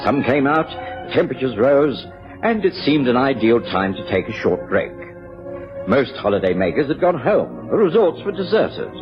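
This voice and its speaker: Muffled radio drama, genteel English doctor-storyteller, genial, highly animated intonation, music behind. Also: male voice. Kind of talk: radio drama narration and dialogue.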